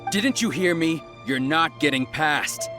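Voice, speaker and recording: A man's voice: on the high side, lively intonation, a little slow, bright, forceful tone, faint music behind, voice pushed down. A man playing a curt official guard. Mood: stern. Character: stern male government guard.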